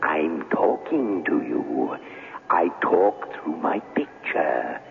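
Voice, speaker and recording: An actor playing an eerie, commanding being who speaks from a picture, hypnotic and portentous, with cavernous reverb, in a 1970s radio drama. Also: male voice, very deep voice, very animated, slow, creaky, full character voice. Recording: reverberant, tinny, hissy old radio recording.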